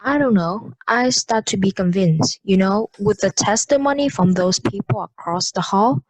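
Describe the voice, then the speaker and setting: A woman's voice: medium pitch, moderate pace, no room sound, natural voice, low-quality recording. Woman playing a hesitant juror, dry sound. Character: hesitant, unsure, mild-mannered juror. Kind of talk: amateur audio drama acting.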